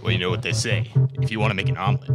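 A man's voice: sits low, racing, loud music behind the voice, close to an everyday voice. A young man playing a solemn, dramatic doctor turned war hero in a radio theatre parody. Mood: grave resolve. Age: young adult. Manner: melodramatic, solemn. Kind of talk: student radio theatre movie-trailer parody. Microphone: microphone on stand.

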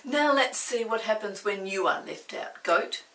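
A woman voicing a loud, fussy grown man in a bathtub from a picture book, bellowing his words out slowly. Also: mid-range voice, animated. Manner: bellowing, drawn out. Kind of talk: storybook read-aloud. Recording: roomy, distant mic, faint hiss.

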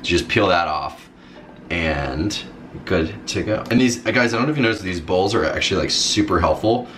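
A man's voice: sits low, expressive, fairly quick, fairly bright tone. A young man cooking in his home kitchen, casually explaining each recipe step on a vlog. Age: young adult. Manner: easygoing, step-by-step instructing. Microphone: camera mic. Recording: roomy kitchen with background noise.

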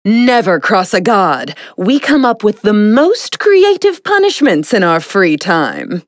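A woman's voice: medium pitch, sing-song, takes it slowly, no room sound, clean studio sound. A woman voicing a vain, imperious yet playful young sun goddess for a video game, with a pompous, theatrical, condescending delivery. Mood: haughty, smug. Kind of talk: video game character voice lines.

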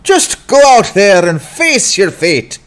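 A man voicing a brash, wry sidekick in a fantasy audiobook, speaking in an animated, urgent way. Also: high voice, slow, exaggerated voice. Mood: cocky encouragement. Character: brash, cheeky male sidekick urging on a young adventurer. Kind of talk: dramatized fantasy audiobook acting.

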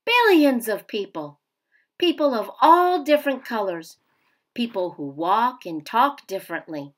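A woman reading a children's picture book aloud in an expressive way for young listeners. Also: fairly high pitch, unhurried. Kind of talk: children's storybook read-aloud.

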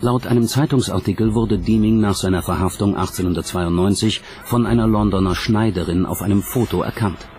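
A man's voice: fairly low pitch, fairly quick, few pauses, darkish tone, a little hiss or hum. A man reading scripted documentary narration as the narrator. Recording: music bed under narration. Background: background music.

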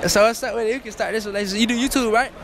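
A man's voice: high-pitched, steady tone, racing, background noise. A teenage man hosting a joking street interview in a shopping mall with a handheld mic, teasing and boastful. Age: teens. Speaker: host.

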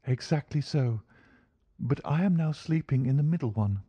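A man voicing an anxious, genteel young English gentlewoman, earnest in manner, in a storybook reading. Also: a little high, animated, normal speed, slightly rough, no echo, voice pushed up.

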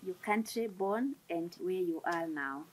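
A woman's voice: lowish voice, expressive, measured pace, crowd noise, low-quality recording. A woman hosting a YouTube interview, prompting her guests with brief, direct questions. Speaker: YouTube channel host.

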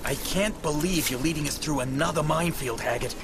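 An actor playing a hot-headed soldier in a war game, complaining in an agitated way. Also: male voice, a little high, fairly quick, natural voice. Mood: griping. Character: hot-headed, griping male soldier in a squad. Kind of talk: military game cutscene acting.

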